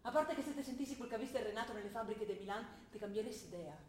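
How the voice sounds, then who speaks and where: A woman's voice: on the high side, darkish tone, natural voice. An actress playing a young idealistic revolutionary student who argues with her father. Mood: fervent. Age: young adult. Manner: earnest, quick, rising to fervent argument. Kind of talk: stage play acting. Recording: roomy stage recording with faint noise.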